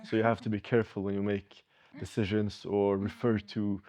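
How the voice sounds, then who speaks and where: A teenage boy student speaking carefully and in a measured way. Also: lowish voice, level tone, darkish tone. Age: teens.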